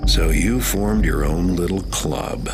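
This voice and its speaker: An actor playing a cynical, wry master thief in a video game cutscene, dry and sardonic, with music behind him. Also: male voice, low voice, takes it slowly, gravelly, full character voice.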